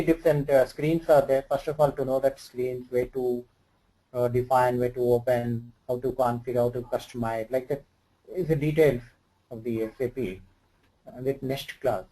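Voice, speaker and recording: A man with an Indian English accent explains things one-on-one as an instructor. His voice is mellow and soft-edged, and he speaks haltingly with lots of fillers and loose grammar. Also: mid-range voice, rough recording. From Andhra Pradesh, India. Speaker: SAP HR instructor. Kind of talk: informal one-on-one tutoring.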